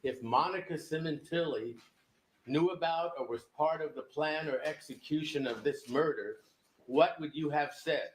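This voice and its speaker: A man's voice, a little higher than usual, questions a witness in court. He presses with pointed, leading questions. The sound rings through an echoey courtroom feed. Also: normal speed. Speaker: defense attorney. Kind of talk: courtroom cross-examination.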